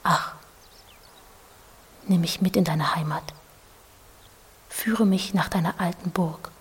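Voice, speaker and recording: An ethereal female android , played by a woman, whispers in long reverb. Her breathy voice moves slowly and solemnly, pleading and seducing like an apparition in a literary audio drama. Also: fairly low pitch, fairly even intonation, full character voice. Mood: pleading, intimate. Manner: slow, solemn, poetic. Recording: long reverberant hall effect.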